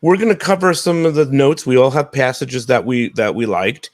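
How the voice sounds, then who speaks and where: A man's voice: medium pitch, normal speed, dry recording. Stammering male host, dry close sound. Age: 30s to 40s. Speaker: podcast host. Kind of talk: casual hosting chat. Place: room at home, indoors.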